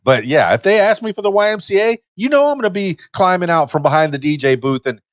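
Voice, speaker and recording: A man in his fifties, a podcast host and DJ, chatting in a lively, self-deprecating way from a home studio. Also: sits high, fast talker, dry recording, lo-fi sound. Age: 50s. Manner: lively, self-deprecating, talks about himself with gusto. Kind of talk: casual interview chat. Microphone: desk mic on a boom arm.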